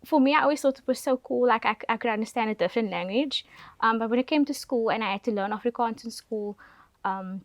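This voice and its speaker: A young woman with a Cape Flats South African accent, a talk show guest, speaking softly and hesitantly with many ums. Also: a little high, fast talker, no echo. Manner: soft-spoken, hesitant with ums.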